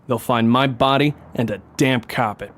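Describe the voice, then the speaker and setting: A man's voice: mid-range voice, normal speed, natural voice. A man playing a tough, sardonic police detective in a noir comedy audio drama, with a threatening edge. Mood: cool menace. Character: tough, wry hard-boiled male police detective. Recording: music behind the voice.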